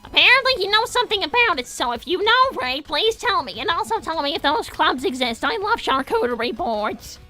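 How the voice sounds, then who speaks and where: Young female cartoon hedgehog: sweet but indignant, chatty, very high girlish register, lively swings. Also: fairly quick, few pauses, soft background music, exaggerated voice. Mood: insistent. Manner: animated, indignant, dramatic. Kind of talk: improvised in-character livestream banter, reading viewer messages.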